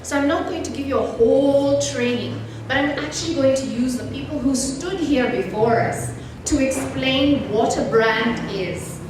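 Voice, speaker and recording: A woman's voice: high-pitched, normal speed. A woman gives a motivational talk to young people in a church, telling stories conversationally and addressing her listeners directly. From born in Nairobi, Kenya, partly raised abroad. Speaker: guest speaker and mentor. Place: large echoey indoor church space. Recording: very echoey hall, distant mic, faint noise.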